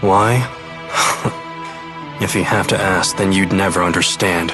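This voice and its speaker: A proud young military aide in a video game drama. His voice sits low, with a formal, aloof manner and clipped phrasing. Music plays clearly behind him. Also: fairly even intonation, measured pace.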